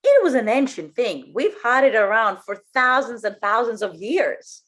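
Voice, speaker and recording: A woman's voice: a little high, very animated, moderate pace, a bit bright. A woman hosting a radio interview, enthusiastic and effusive. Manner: enthusiastic, effusive, switching between languages. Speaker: radio show host.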